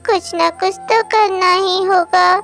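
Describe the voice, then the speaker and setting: Small earnest songbird for a kids' cartoon, played by a woman: tiny high voice, unhurried pace. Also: fairly even intonation, a bit bright, exaggerated voice. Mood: sad, determined. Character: small young songbird, childlike, earnest and kind. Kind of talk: children's cartoon dubbing in Hindi. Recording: music behind the voice.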